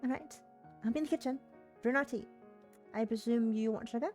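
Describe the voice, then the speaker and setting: A woman's voice: fairly high pitch, fairly quick, darkish tone, soft background music, natural voice. A woman voicing a visual novel heroine, a polite, playfully chiding young woman. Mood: brisk, polite.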